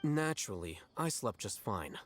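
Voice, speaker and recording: A man voicing a calm, self-assured, pedantic scholar in a game with measured, deadpan delivery. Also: on the low side, faint music behind. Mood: composed, smug. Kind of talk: animated game voice acting.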